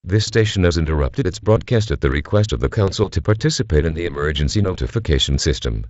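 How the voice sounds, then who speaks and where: A man playing a grave government announcer, reading a scripted emergency bulletin in a formal, measured, solemn manner. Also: low voice, lively intonation, a little mellow, dry recording, highs cut off. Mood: grave, official. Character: grave male government civil defense announcer.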